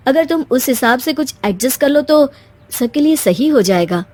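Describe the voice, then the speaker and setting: A woman's voice: high voice, animated, moderate pace, darkish tone. A woman voicing a reasonable but firm young husband in a cartoon story, earnest and reproving. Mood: patient.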